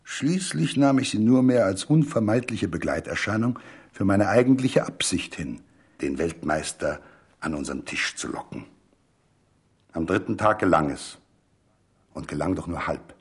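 Male radio narrator, literary prose, low raspy-edged voice, articulate, measured, expressive delivery.

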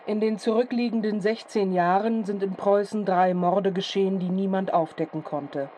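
Radio play: actress as grave female newsreader, dark soft tone, factual delivery, slight ambience. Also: medium pitch, steady tone, moderate pace, lightly acted. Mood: solemn, formal. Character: grave, formal female radio announcer reporting murders. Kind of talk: scripted announcement in radio drama. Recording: faint background noise and ambience.

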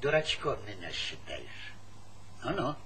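A man acting a pompous, humourless village informer in a Soviet stage comedy, with a creaky voice and clipped officialese. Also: mid-range voice, big pitch swings, measured pace, pushed and bright. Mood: pompous, grave. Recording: noisy old theatre recording.

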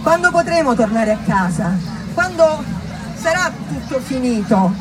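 A woman's voice: high voice, lively intonation, a little slow, distant mic, a crowd in the background, noisy background. A woman playing an anxious, deferential mother in a stage monologue, pleading and insistent. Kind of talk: theatrical monologue dialogue.

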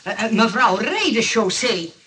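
Lively, bright-voiced nurse. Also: female voice, on the high side, a little slow. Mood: defensive, fibbing. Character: protective, quick-fibbing kindly woman nurse. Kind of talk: radio comedy acting. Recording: noisy old radio recording, distant.

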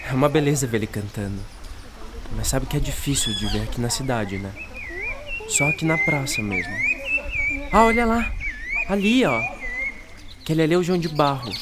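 A man's voice: mid-range voice, heavy background noise, lightly acted. Chatty Brazilian boy amid birdsong, played by a man. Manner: chatty, enthusiastic, folksy. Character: friendly boy who knows his birds. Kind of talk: radio drama dialogue. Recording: birdsong ambience behind the voice. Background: outdoor birdsong.

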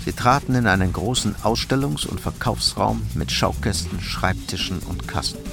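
A man reads dramatic crime narration in a lowish voice with a creaky grain. His telling is measured and matter-of-fact, set over clearly heard music. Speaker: narrator of a crime radio play.

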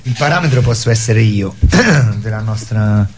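A man's voice: lowish voice, animated, unhurried, soft background music. A middle-aged man chatting as a theatre performer being interviewed, plain-spoken and often restarting his phrases. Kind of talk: casual interview chat.

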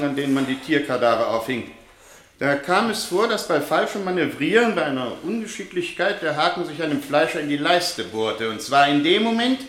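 A man's voice: a little high, fairly bright tone. Echoey, pedantic male medical expert. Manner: formal, long-winded, self-assured. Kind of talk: German radio play acting. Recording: roomy, reverberant courtroom sound.

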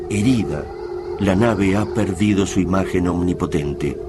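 An actor with an Argentine accent playing the grave narrator of a science fiction war tale in a radio drama. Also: male voice, low voice. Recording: loud music under the voice.

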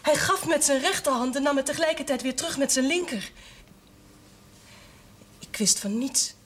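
A woman's voice: high-pitched, normal speed, lightly acted. An actress playing an earnest young former novice burdened with her family's business, in a television period drama. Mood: earnest, confiding.

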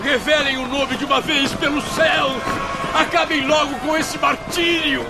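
An actor playing a despairing, wretched spirit, pleading and wailing in a radio drama. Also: male voice, extremely high pitch, steady tone, normal speed, creaky. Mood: impatient anguish. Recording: music and crowd din behind.

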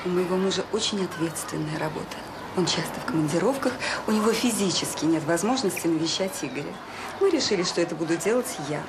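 An actress playing an officious, self-important schoolteacher in a radio drama, prim, didactic and quick to find fault. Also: female voice, on the low side, lively intonation. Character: officious, fault-finding female teacher, self-important visiting relative. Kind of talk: naturalistic Soviet radio drama acting. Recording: very noisy audio drama recording.

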